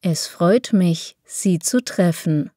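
A woman reading German lesson dialogues, taking every part herself with pauses between phrases. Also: on the low side, lively intonation, warm tone, no room sound, studio quality. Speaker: German reader for a language lesson. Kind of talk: slow, clearly spaced phrase reading.

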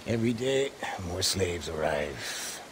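A thoughtful rebel adviser in a video game drama. His mid-pitched voice moves in lively swings, earnest and deferential, with faint rain and water noise behind. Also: slow. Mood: earnest, advising. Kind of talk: video game cutscene acting.

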